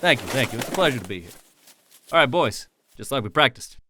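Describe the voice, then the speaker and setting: A man's voice: mid-range voice, very animated, fast talker, natural voice. A man playing a crooning turkey lounge singer with showbiz patter. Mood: showman. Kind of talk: comedy sketch with singing. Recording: music playing behind.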